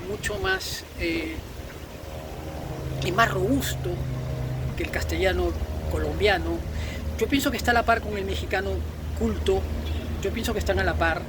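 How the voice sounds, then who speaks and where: A man's voice: sits high, heavy background noise. A man from Peru with a Peruvian accent, giving an opinionated informal monologue in an emphatic, repetitive way with florid vocabulary. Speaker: video host.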